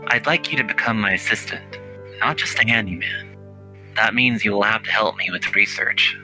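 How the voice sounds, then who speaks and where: A man voicing an older scholarly mentor from a visual novel, patient and earnest, speaking in a measured, formal, reassuring way. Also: fairly low pitch, bright, forceful tone, soft background music, pitched below the performer's own voice, poor audio. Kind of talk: reading visual novel dialogue in character.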